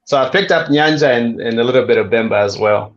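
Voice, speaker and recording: Relaxed, friendly Zambian man. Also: mid-range voice, quick pace, lo-fi sound. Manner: relaxed, friendly storytelling with asides. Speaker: guest. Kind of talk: casual interview chat.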